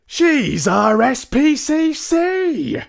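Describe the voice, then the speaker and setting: A man voicing an outraged, blustering middle-aged father in a storybook, spluttering with indignant shouts. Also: extremely high pitch, very animated, extremely slow, gravelly, voice pushed up, heavily acted. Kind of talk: storybook character reading.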